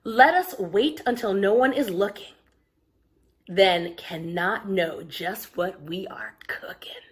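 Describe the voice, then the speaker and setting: A woman's voice: medium pitch, slow, voice pushed down, lightly acted. A woman voicing curious, mischievous young children in a storybook, eager and playful. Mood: scheming.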